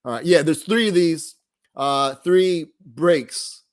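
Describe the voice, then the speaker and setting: A man's voice: fairly high pitch, slow. A man casually hosting a live online group, talking off the cuff in a rambling, laid-back way and often trailing off mid-thought. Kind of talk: casual, off-the-cuff livestream talk.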